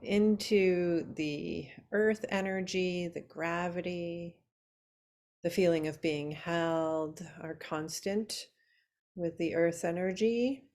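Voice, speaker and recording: Slow-speaking woman guiding a relaxation meditation. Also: fairly low pitch, darkish tone. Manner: soothing, unhurried, with long pauses between short phrases. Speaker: yoga nidra meditation teacher.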